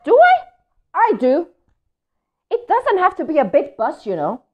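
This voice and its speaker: Spanish-native woman as a grumpy storybook pigeon guy, loud whiny complaining, pitch jumps way up, bit muffled. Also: full character voice. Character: grumpy, suspicious, indignant male pigeon with attitude. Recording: dull lo-fi audio.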